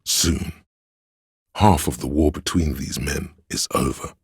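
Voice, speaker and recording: A man voicing a brooding inner voice that narrates a police drama in a game, with a very deep, gravelly, husky delivery, measured and solemn. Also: no room sound, clean studio sound, full character voice. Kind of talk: literary scripted narration.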